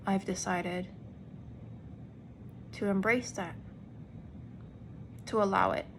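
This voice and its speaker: A woman actor talks slowly and earnestly in a personal vlog, pausing between phrases for emphasis. Her voice sits at a middle pitch. Faint background noise runs under her speech. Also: fairly even intonation. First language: English. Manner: earnest, deliberate, with emphatic pauses. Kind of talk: reflective personal vlog monologue.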